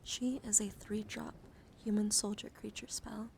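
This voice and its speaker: A woman doing an ASMR livestream, chatting softly to viewers and often slipping into breathy whispers, repeating words soothingly. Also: mid-range voice, little pitch movement, moderate pace, slight background noise. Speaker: streamer.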